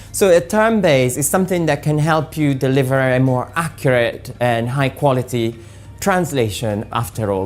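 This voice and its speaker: Very low-voiced woman explaining. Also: darkish tone. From Italy. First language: Italian. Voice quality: patient, explaining step by step with frequent ums. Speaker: software presenter. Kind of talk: explanatory talk about translation software.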